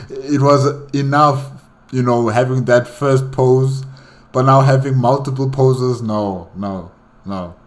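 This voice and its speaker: A man's voice: medium pitch, fairly even intonation, slow. A man giving spontaneous, casual reaction commentary as a host, speaking in emphatic, repeated short phrases.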